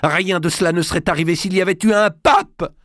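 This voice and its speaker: A man reading an audiobook, voicing an anguished, hot-tempered young medieval king with a high voice that turns shrill in anger. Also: moderate pace, a little mellow, no room sound, studio quality, lightly acted. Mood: angry, bitter. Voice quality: high, shrill when angry. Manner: pleading, then bursting into rage.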